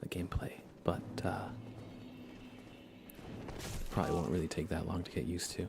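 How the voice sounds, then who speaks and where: Male ASMR game streamer: very low, gravelly, hushed and casual, with clear background noise. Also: steady tone, normal speed. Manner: hushed, often slipping into whisper. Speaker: ASMR livestreamer. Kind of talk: soft-spoken ASMR gaming commentary, casual.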